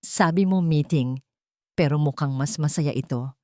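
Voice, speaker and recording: A woman voicing a poised wronged wife in a romance story, calm, clear and polite with a cutting edge. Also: low voice, moderate pace, no echo, clean studio sound, close to an everyday voice. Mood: sweetly cutting. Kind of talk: storybook romance drama dialogue.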